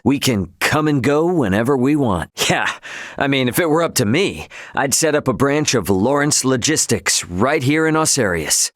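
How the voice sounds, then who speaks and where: An actor playing a brash, easygoing young male starship captain in a video game, with a cocky, informal and expressive delivery. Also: medium pitch, no echo, studio quality. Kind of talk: video game character dialogue.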